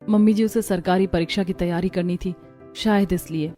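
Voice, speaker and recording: A woman's voice: on the low side, steady tone, moderate pace, mellow, faint music behind, close to an everyday voice. A woman voicing a meek, wounded young bride in a Hindi cartoon story, plaintive and polite.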